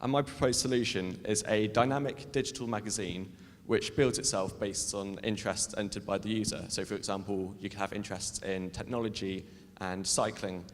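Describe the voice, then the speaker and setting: Low-voiced student developer pitching. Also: male voice. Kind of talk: short startup pitch. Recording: long hall reverb.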